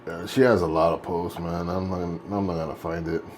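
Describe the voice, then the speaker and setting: A man's voice: low-pitched, flat delivery, fast talker, a little mellow, a little hiss or hum. A man in his late forties chatting casually while shaving, rambling and correcting himself as he goes. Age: 40s. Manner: rambling, self-correcting, laid-back. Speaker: vlogger.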